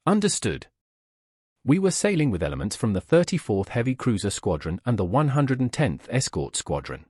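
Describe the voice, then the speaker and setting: Man voicing a steadfast warship officer, husky. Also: mid-range voice, huge pitch swings, moderate pace, darkish tone, dry recording, clean studio sound. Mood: resolute, businesslike. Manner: formal military radio speech. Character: steadfast human warship officer, courteous and defiant.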